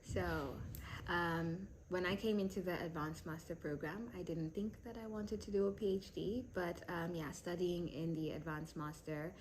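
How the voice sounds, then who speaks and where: A woman's voice: lowish voice, steady tone, moderate pace, a little hiss or hum, rough recording. A young woman from South Sudan, raised in Kenya, answering interview questions thoughtfully with many fillers and restarts. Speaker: PhD candidate.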